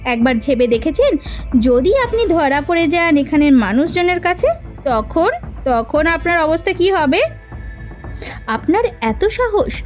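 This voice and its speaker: A woman's voice: sits high, darkish tone. A woman voicing a stern, dutiful elder sister in a cartoon, scolding and earnest by turns. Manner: indignant, scolding. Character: stern elder sister running a hill kitchen. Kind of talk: cartoon voice acting.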